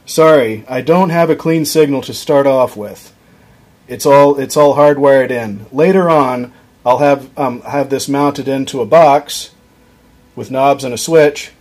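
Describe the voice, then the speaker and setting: A young man explaining a guitar circuit on his workbench, casual and halting, with frequent ums and restarts, recorded on a camera mic. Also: medium pitch, a little mellow. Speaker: electronics hobbyist demonstrating a circuit. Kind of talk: casual off-the-cuff tutorial. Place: workbench at home, indoors.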